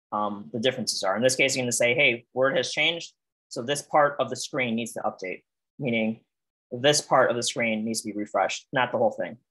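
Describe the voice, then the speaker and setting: A man running an online coding lesson, walking through each step patiently and thinking aloud with small fillers. His voice is wearing thin and getting hoarse, and he sits at a pitch that is neither high nor low, talking into a computer mic on a video call. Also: bright, forceful tone, poor audio. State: losing his voice. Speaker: coding instructor.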